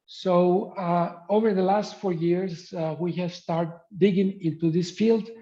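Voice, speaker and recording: A man's voice: high-pitched, steady tone, unhurried, warm tone. Dull-sounding man lecturing over a call. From Greece. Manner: hesitant, many fillers. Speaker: guest speaker, professor. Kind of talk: webinar lecture. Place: remote spot in the countryside. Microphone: video call.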